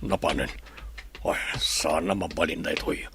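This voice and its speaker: A man's voice: rumbling low, normal speed, vocal fry, a bit bright, slight background noise, exaggerated voice. An actor playing a scheming, insistent village captain in a radio drama, grumbling as he plots. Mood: scheming, frustrated. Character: scheming male village captain plotting to sell a baby. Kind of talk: Ilocano radio drama acting.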